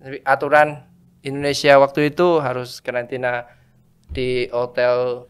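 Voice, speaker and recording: A man's voice: medium pitch, monotone, moderate pace, clear, resonant tone. A man from Vietnam with a Vietnamese accent, answering in a casual interview and often searching for words in a language that is not his native one. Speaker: podcast guest, religious brother studying theology.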